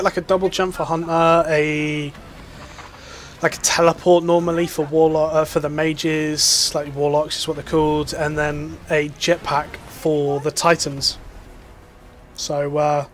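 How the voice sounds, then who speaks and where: A man's voice: a little high, level tone, moderate pace, slight background noise. A young man giving rambling, enthusiastic casual commentary while playing a game. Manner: rambling, filler-heavy, enthusiastic. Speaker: gaming YouTuber.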